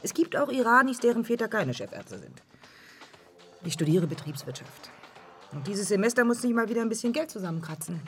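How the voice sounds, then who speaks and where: A woman playing a sharp, teasing young student in a naturalistic radio drama, with a brisk, matter-of-fact way of talking. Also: medium pitch, very animated, slight background noise, lightly acted. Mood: wry, frank. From Iran. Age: young adult.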